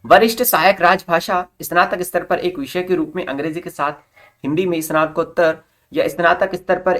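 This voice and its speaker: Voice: mid-range voice, fairly bright tone. A presenter reading out an official recruitment notice in an instructional way, restating formal terms in simpler words. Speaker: presenter of job recruitment updates. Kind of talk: informational explainer reading out a notice with plain explanations.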